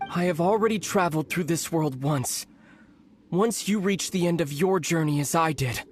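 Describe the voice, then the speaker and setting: A man's voice: on the high side, a little hiss or hum. An actor playing a grave, resolute young man in a game who leads the Abyss and speaks to his sister. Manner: grave, measured, solemn. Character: young man, lost brother leading the Abyss, solemn and resolute. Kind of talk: game cutscene voice acting.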